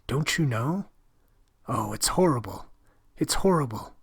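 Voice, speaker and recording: A man's voice: mid-range voice, animated, a little slow, breathy edge. A man reading a story, voicing a timid, frightened young woman with breathless, whispery speech.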